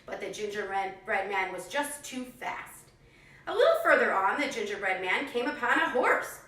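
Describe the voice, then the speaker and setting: A woman telling a story to young children in an animated storytelling style. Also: mid-range voice, moderate pace. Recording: echoey room, distant microphone.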